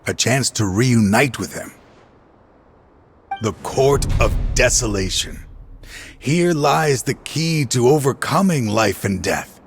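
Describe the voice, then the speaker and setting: A man's voice: medium pitch, lively intonation, takes it slowly, a little hiss or hum. An actor playing a bitter, regretful middle-aged former lawman turned video game villain, with weary, brooding delivery. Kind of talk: dramatic video game voice acting.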